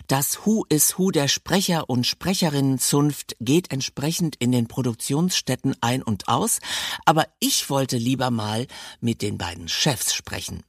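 A man's voice: mid-range voice, expressive, measured pace, husky, dry recording, studio quality. A man hosting a talk podcast, chatting and presenting to listeners and guests. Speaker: podcast host and interviewer.

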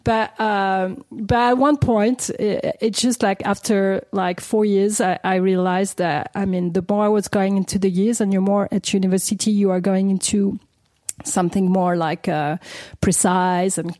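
Warm-voiced woman, hesitant. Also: mid-range voice, fairly even intonation, measured pace, dry recording. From France (Paris). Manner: hesitant, many restarts, laughs between phrases. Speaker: guest, DJ and producer. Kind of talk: casual interview answers.

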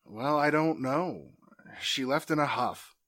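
An actor playing a nervous young artist accused of murder, defensive and hesitant. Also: male voice, fairly high pitch, slow, a bit bright. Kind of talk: amateur audio drama acting.

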